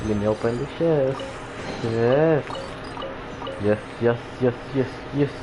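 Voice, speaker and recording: A man's voice: mid-range voice, slow, music in the background, low-quality recording. A man giving casual gameplay reaction commentary in a slangy, exclamatory way. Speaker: gamer, video creator.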